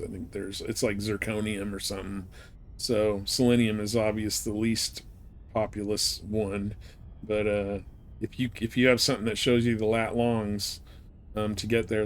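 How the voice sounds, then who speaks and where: A man's voice: lowish voice, a little hiss or hum. A man live-streaming a game, giving casual commentary and advice in a rambling, informal way.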